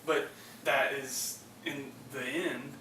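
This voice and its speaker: A man co-hosting a movie podcast, chatting casually about film and haltingly searching for his words. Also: mid-range voice, steady tone, pushed and bright. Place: echoey room, away from the mic. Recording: roomy, distant mic, faint noise.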